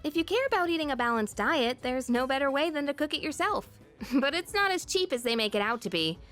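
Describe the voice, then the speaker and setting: A woman's voice: high voice, animated, fairly quick, a bit bright, faint music behind. A woman voicing a sassy, practical teenage girl in a game, casual and blunt. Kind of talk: game voice acting.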